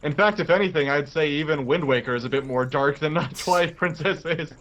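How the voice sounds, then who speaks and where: A young man co-hosting a let's play with casual, agreeable gaming commentary, delivered in a halting, conversational way. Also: on the high side, a bit bright, muffled recording, a little hiss or hum.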